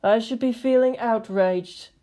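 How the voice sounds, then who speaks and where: A haughty alien seeress from a sci-fi audio story, played by a woman. She speaks imperiously in a raised pitch, scolding like someone far above her listener. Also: a little slow, dark tone, natural voice. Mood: miffed. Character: haughty, indignant female alien Farseer figurine.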